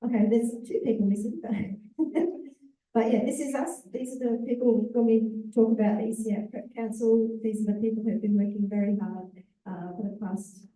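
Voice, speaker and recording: A woman, an executive officer, giving a relaxed conference presentation with fillers and self-corrections. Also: a little high, moderate pace. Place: large conference hall. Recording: echoey hall, voice far from the mic.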